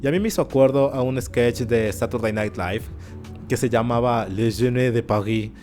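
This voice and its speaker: A man giving animated, sarcastic commentary in an opinion video. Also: mid-range voice, moderate pace. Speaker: YouTube commentator. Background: faint background music.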